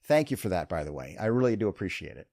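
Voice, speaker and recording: A man's voice: low-pitched, big pitch swings, quick pace, creaky, darkish tone, no echo, clean studio sound. A man hosting a podcast interview, upbeat and chatty, laughing easily. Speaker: podcast host.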